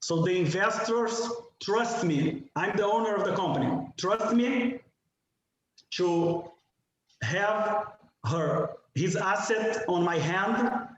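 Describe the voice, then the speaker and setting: A man in his forties from Brazil with a strong Brazilian Portuguese accent, giving a halting candidate speech at a residents' meeting. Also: high voice, dull audio. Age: 40s. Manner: halting, apologetic about his English, asks the audience questions. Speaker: board candidate. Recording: very echoey room with some noise.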